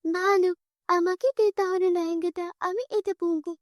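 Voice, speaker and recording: A woman's voice: very high voice, level tone, normal speed, no room sound, studio quality, soft background music, pitched above the performer's own voice. A woman voicing a greedy, spoiled stepsister girl in a cartoon, whiny and demanding.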